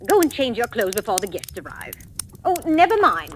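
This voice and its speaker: An actress playing a domineering, suspicious, nagging wife in a 1940s radio drama, imperious and scolding. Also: female voice, on the high side, sing-song, moderate pace. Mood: scornful, scolding. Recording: faintly crackly vintage radio recording.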